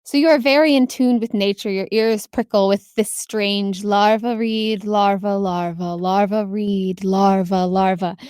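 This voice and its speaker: A woman's voice: mid-range voice, darkish tone, smooth, dry recording, clean studio sound. A woman improvising narration as a tabletop game master, descriptive and conversational.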